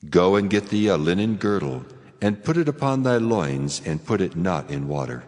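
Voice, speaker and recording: An actor playing a stern, majestic God in a dramatized scripture reading, solemn, commanding and deliberate. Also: male voice, low voice, big pitch swings, darkish tone. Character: God, stern, majestic, wrathful male deity. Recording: long cavernous reverb with music behind. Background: music.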